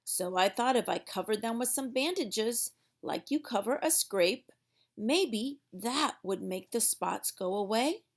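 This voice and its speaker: A woman's voice: a little high, lively intonation, a little slow. A woman reading a storybook aloud for young children in a theatrical way, drawing out words for emphasis.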